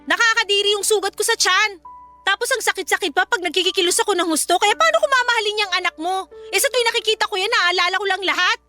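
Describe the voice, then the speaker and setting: A woman's voice: very high voice, quick pace, pushed and bright, faint music behind. A Filipino woman playing a resentful young mother in a radio drama, curt, sarcastic and confrontational. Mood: bitter disgust. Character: bitter, resentful young mother rejecting her baby and partner.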